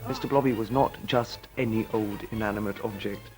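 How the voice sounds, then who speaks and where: A man's voice: lowish voice, fairly quick. A man, a stage performer, speaking with emphatic theatrical phrasing in an interview. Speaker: pantomime performer. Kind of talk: talking-head interview. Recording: faint music behind, VHS tape.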